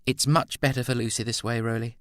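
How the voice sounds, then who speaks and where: Casting: flirtatious artist's model, female played by a man, teasing and poised, raised lilting pitch, audiobook fiction. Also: lively intonation, brisk, a bit bright, no echo, studio quality. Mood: reassuring. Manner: playful, poised, coy.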